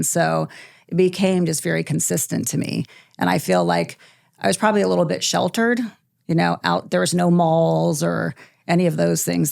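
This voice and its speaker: A middle-aged woman, a company president, telling stories from her childhood in a relaxed, thoughtful interview with many pauses. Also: on the low side, creaky, dry recording, clean studio sound. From born in Iceland, military family. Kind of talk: podcast interview, reflective storytelling.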